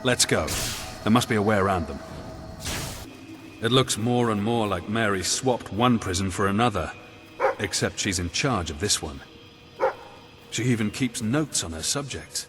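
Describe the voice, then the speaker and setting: A low-pitched male actor as a wary military companion in a video game. He is articulate, scornful and dryly sardonic, and music plays clearly behind his words. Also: moderate pace, long pauses, close to an everyday voice. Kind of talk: scripted video game dialogue.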